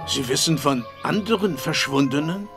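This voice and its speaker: A man's voice: on the high side, expressive, normal speed, over music. An actor playing a brooding, guarded father in a period mystery radio play, speaking tersely. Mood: wary, bitter.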